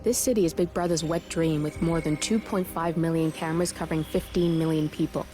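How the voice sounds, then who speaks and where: A woman's voice: sits low, steady tone, fairly quick, warm tone, soft background music, close to an everyday voice. An actress playing a sardonic young hacker who briefs her partner in a blunt, wry, matter-of-fact way. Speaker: hacker and handler. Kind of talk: video game cutscene acting. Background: game score.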